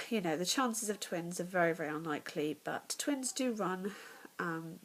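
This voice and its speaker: A young English woman with a stuffy, nasal voice talks candidly to camera, her tone sitting a little low for a woman. She rambles in a chatty way, often sinking to a near whisper. A faint hiss runs under the home recording. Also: animated, moderate pace, fairly bright tone, rough recording. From England. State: congested, nasal. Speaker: vlogger. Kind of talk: casual pregnancy vlog. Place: home, in the evening.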